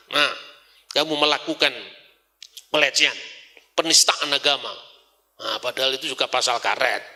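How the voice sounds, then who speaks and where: A man with a Javanese accent giving an animated religious lecture, asking rhetorical questions and slipping into Javanese phrases. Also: a little high.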